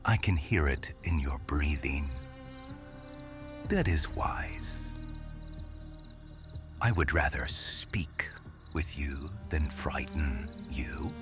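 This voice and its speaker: A man playing a quiet, watchful serpent shifter guardian in an intimate ASMR roleplay, hushed and deliberate, often slipping into whispers. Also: rumbling low, music in the background, full character voice. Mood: soothing, intimate.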